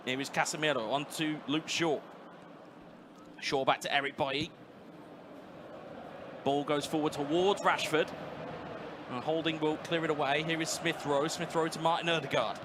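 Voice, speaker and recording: A man calling live esports football play by play, rapid and rising to shouts at big moments. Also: a little high, fairly even intonation, noisy background. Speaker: play-by-play commentator.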